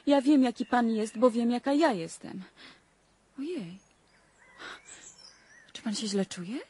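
A woman's voice: a little high, animated, slow pace, a little mellow, breathy edge, full character voice. An actress playing a capricious, provocative young well-born woman in a radio drama, restless, teasing and impulsive. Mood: confiding.

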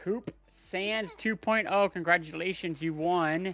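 A man hosting a casual livestream giveaway, chatting with viewers and family in quick bursts. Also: sits high, a bit bright, faint music behind. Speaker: livestream host running giveaways.

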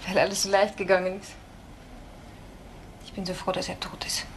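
An actress playing a nervous, submissive young woman in a German radio crime drama, hesitant and terse, with a breathy voice. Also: mid-range voice, little pitch movement, moderate pace, background noise, natural voice. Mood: relieved. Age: young adult. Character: frightened, submissive young woman under questioning.

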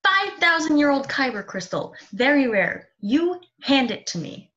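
A woman's voice: sits high, big pitch swings, unhurried, fairly bright tone, full character voice. A woman playing an exasperated, bossy young female adventurer in a fan table read. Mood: scolding. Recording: muffled lo-fi video call.

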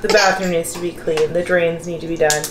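A woman's voice: steady tone, brisk, echoey room, heavy background noise. A woman in her thirties from Canada narrating her home cleaning in a casual, chatty vlog, recorded in a small tiled condo. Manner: chatty, talks to her toddler in a high sing-song. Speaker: vlogger.

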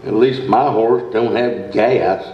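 A man's voice: lowish voice, steady tone, slow pace. A man as a blunt grumbling cowboy, echoey stage. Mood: grumbling, teasing. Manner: blunt, needling, rural grammar. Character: grumbling, teasing small-town rodeo rider. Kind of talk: live radio-play comedy dialogue. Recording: echoey, dull theater recording.

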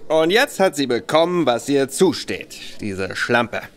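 A man's voice: mid-range voice, very animated, unhurried. An actor playing a bitter, tipsy young nobleman who rambles spitefully in a radio play. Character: Sebastian Conroy, young nobleman, bitter, drinking, boastful.

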